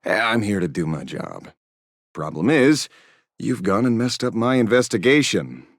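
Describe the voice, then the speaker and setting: A man's voice: lowish voice, very animated, measured pace, no room sound, full character voice. An actor playing a wry, streetwise male investigator in a game, talking with sardonic, self-assured drawl. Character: wry streetwise male investigator, lone-wolf justice seeker. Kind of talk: game dialogue voice acting. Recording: studio voice with faint game music.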